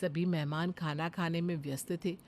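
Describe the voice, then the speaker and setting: A woman's voice: sits low, steady tone, normal speed, darkish tone. Woman narrating a cartoon moral story.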